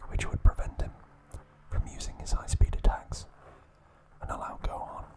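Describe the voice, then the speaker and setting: A man speaks in a soft, unvoiced whisper throughout as an ASMR host. His delivery stays slow, gentle and even, with only a brief aside now and then.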